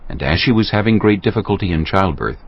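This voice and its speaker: Deep, expressive male scripture narrator. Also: fast talker, dull audio. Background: faint music.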